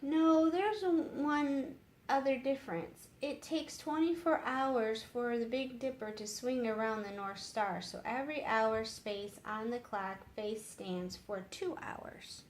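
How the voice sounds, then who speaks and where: Woman reading a patient young man, slow and explanatory. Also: on the high side. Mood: gentle. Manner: polite, explanatory. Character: patient, brainy young man, gently teaching. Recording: roomy echo with faint noise.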